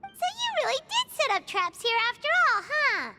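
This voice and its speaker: Very high, squeaky childlike fairy sidekick. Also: female voice, wildly swooping pitch, moderate pace, heavy background noise, heavily acted. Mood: curious, excited. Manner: exclamatory, childlike. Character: tiny childlike floating fairy companion, excitable and chatty. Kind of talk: video game cutscene voice acting. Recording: loud music underneath.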